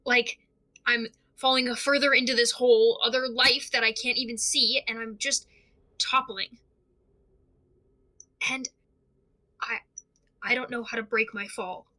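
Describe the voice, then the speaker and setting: An actress playing a frightened, isolated young investigator in an audio drama monologue, speaking haltingly and uneasily with restarts. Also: female voice, on the high side, fairly even intonation, bright, forceful tone. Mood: sad, lost. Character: frightened isolated young investigator unravelling over mysterious tapes.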